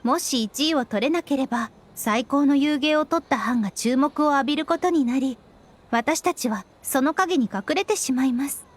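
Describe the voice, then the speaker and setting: An actress playing a polite, shrewd young woman strategist in an anime game, speaking in a composed, analytical way. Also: sits high. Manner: polite, measured wording, analytical. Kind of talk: Japanese anime game voice acting.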